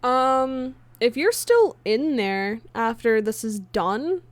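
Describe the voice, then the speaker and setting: A woman chatting casually on a livestream as she works, halting and half talking to herself in an absent-minded way. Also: a little high, expressive, no echo. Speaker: livestreamer and comic dub creator. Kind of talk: casual livestream chat while working. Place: indoors at a computer, streaming.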